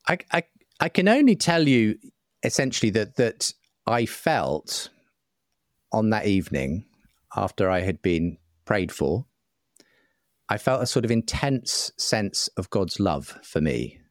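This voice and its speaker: A low-voiced man in a reflective interview conversation, recorded close and dry. He feels his way through his answers, qualifying and rephrasing as he goes. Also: big pitch swings, measured pace, dry recording. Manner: hesitant, self-qualifying, searching for words. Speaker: interview guest, writer and broadcaster.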